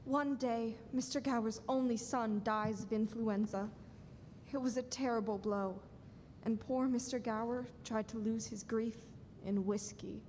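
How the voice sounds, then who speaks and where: A young woman playing a calm, authoritative superintendent of angels, telling a story in a live radio play. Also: on the high side, a little slow, lightly acted. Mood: calm, instructive. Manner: instructive storytelling. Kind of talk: stage radio-play acting. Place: school auditorium stage, standing at mic. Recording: reverberant noisy hall.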